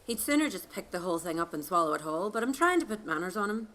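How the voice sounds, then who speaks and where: A woman playing a quick-witted, unflappable Irish wife, wry and matter-of-fact, in an audio drama legend. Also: fairly low pitch, big pitch swings, fairly bright tone, slight background noise. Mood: breezy, sly.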